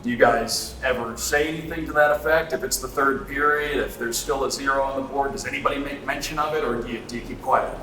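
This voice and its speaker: A man conducting a sit-down sports interview in a conversational way. His voice is mid-pitched, recorded in an echoey room with faint background noise. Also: steady tone, fast talker, few pauses, bright, forceful tone.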